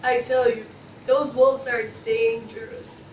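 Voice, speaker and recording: A woman's voice: high voice, steady tone, slow pace, a little mellow. A woman playing a bossy, suspicious pig juror with an emphatic delivery in a student play reading. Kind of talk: student table read of a comic play. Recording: echoey room, distant mic, faint noise.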